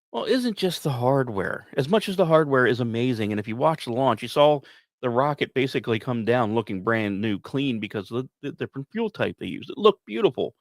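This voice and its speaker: Male podcast narrator, mid-pitched and highly expressive, measured and emphatic with casual asides, dry recording. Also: no echo. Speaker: podcast host. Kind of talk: scripted science news narration with casual asides.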